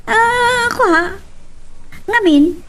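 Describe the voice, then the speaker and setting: A woman acting a lively young female friend who teases a farmer, expressively, in an Ilocano audio drama. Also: very high-pitched, slow, full character voice. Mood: teasing, admiring. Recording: distant mic with background noise.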